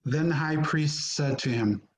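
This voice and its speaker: A man narrating scripture from a script in a deliberate, solemn manner. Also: fairly high pitch. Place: remote recording on a video call. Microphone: video call.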